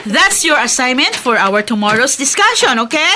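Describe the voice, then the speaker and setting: Filipino schoolteacher, married woman, higher voice, very animated delivery, radio soap, music behind. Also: moderate pace. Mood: brisk, friendly. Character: married schoolteacher woman, friendly, wistful about being childless. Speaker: radio drama actress. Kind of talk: Tagalog radio drama acting.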